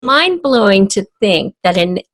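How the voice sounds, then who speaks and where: Unhurried woman in a dry, close recording. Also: medium pitch, very animated, fairly bright tone, dry recording. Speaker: podcast guest, audio drama writer. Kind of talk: conversational interview discussion.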